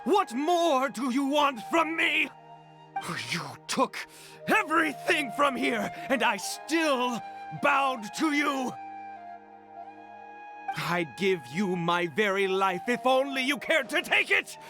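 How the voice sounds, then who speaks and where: An actor playing a grieving, desperate father in a video game, pleading in a tearful, breaking voice with halting, dramatic delivery. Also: extremely high pitch, lively intonation, over music, exaggerated voice. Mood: anguished rage. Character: grieving desperate father, bitter toward the gods.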